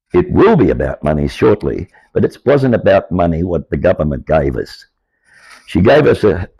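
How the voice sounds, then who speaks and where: An older Australian man with a croaky, aged voice, telling his life story to camera in an earnest, rambling way. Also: on the low side, warm tone, no room sound, poor audio. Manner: earnest, rambling, grows insistent. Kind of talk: personal monologue to camera.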